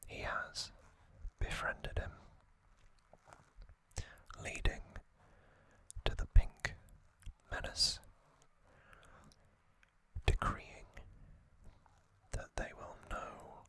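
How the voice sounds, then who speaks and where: A man hosting an ASMR series, narrating trivia in a breathy, unvoiced whisper with deliberate short phrases and pauses. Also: pushed and bright.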